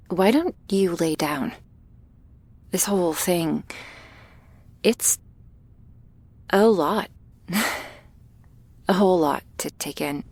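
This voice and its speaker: A woman playing a shy, gentle vampire girl in an ASMR roleplay, speaking softly and hesitantly, awkward but reassuring, often slipping into a whisper. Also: fairly low pitch, dry recording, exaggerated voice. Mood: soothing, apologetic. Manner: hesitant, halting, soft-spoken with breathy whispers. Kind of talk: ASMR roleplay addressed to the listener.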